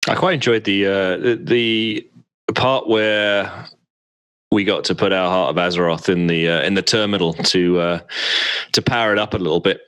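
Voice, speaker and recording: A man appearing as a guest on a casual gaming podcast, talking in a halting, hesitant way with lots of fillers. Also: deep voice, steady tone, fairly bright tone, clean tone.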